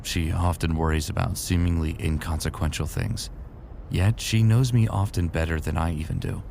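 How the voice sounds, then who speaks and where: A very low-voiced, gravelly actor as a male space soldier, weary and remorseful. He narrates his sci-fi audio drama in an earnest, brooding way over a faint rumble. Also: steady tone, moderate pace, a little mellow. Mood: reflective.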